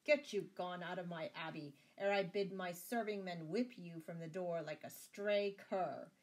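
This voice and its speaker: A woman voicing a greedy, gloating medieval abbot who is quick to rage, in a storybook style. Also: high-pitched, sing-song, pitched above the performer's own voice. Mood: furious. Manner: chuckling, gloating, blustering. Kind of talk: storybook character voice.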